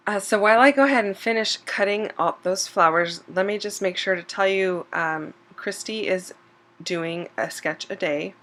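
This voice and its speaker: A slightly hoarse woman hosting a scrapbooking channel, chatting casually over a craft process video with plenty of ums and self-corrections. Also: medium pitch, normal speed. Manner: relaxed and chatty, with frequent um fillers and self-corrections. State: slightly hoarse, losing her voice.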